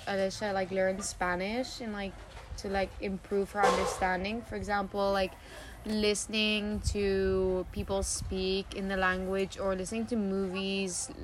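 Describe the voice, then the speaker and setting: A woman's voice: mid-range voice, flat delivery, a little slow, noisy background. Chatty young woman saying "like" a lot. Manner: filler-heavy, lots of "like". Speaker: podcast co-host. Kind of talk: casual student podcast chat.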